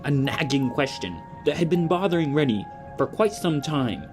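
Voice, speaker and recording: A man's voice: a little high, animated, normal speed. A man reading scripted storybook narration as the narrator of a family audio drama. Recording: loud music under narration.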